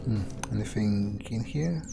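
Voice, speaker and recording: A man giving in-character gameplay commentary, thinking aloud in a musing, hesitant way with pauses mid-phrase. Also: fairly low pitch, vocal fry. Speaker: gamer doing an in-character playthrough. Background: game music.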